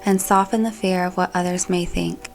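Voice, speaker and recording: A woman narrating a scripted guided meditation in a calm, gentle, soothing manner. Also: lowish voice, normal speed, low-quality recording. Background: background music.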